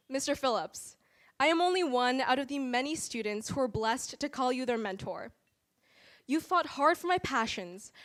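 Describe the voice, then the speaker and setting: High-voiced teenage girl giving a formal speech. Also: a bit bright. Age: teens. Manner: earnest, formal, measured delivery. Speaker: student award honoree. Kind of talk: prepared acceptance speech. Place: awards event hall.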